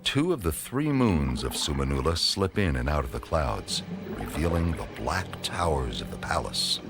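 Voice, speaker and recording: A man narrating a scripted radio drama as a storyteller, with deliberate phrasing. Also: rumbling low, very animated. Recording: loud music under the voice.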